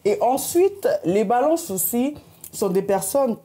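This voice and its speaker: A woman's voice: fairly high pitch, a little slow. A woman hosting a community TV interview, giving encouraging astrology readings to her guests. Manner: warm, encouraging, animated. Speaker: host.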